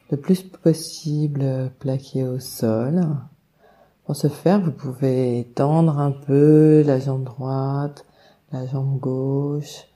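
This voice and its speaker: A woman leading a guided meditation, giving calm, soothing instructions with long unhurried pauses. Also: extremely low pitch. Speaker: yoga nidra meditation guide.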